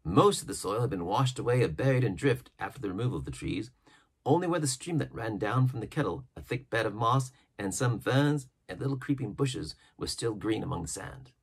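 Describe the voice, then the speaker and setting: A man with a husky voice narrating a classic adventure novel as an expressive storybook reading. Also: fairly low pitch, fairly quick. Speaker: audiobook narrator.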